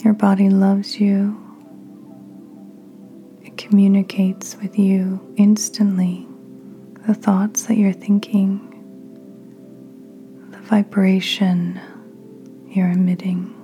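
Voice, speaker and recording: A woman podcast host leading a guided meditation in a gentle, soothing, breathy near-whisper. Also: medium pitch, little pitch movement, takes it slowly, pauses often. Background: soft music.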